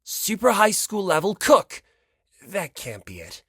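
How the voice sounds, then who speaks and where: A man's voice: sits high, huge pitch swings, measured pace, fairly bright tone, slightly rough, no room sound, clean studio sound, voice pushed up, exaggerated voice. A man voicing a cheerful, self-deprecating teenage boy who needles with frivolous, insincere politeness in an audiobook. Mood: eager, probing.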